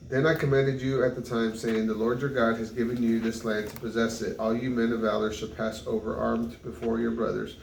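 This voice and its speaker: A man's voice: medium pitch, level tone, fairly quick, vocal fry, hardly any pauses. A man reads scripture aloud, stumbling and correcting himself on unfamiliar names. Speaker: Bible reader. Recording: echoey room, distant mic, faint noise.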